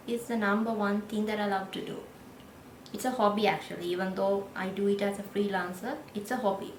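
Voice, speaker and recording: A woman in her thirties with a Sri Lankan accent, whose first language is Sinhala, chatting casually to the camera in a gentle, unhurried way. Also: a little high, roomy sound, slight background noise, rough recording. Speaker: vlogger.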